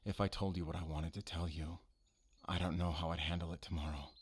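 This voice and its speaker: Audio roleplay lead: man as brooding male werewolf hunter, low voice, halting and solemn, guarded yet intimate. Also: flat delivery, breathy edge. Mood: torn, pained. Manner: halting, measured, solemn, intimate address to listener. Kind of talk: cinematic audio roleplay acting.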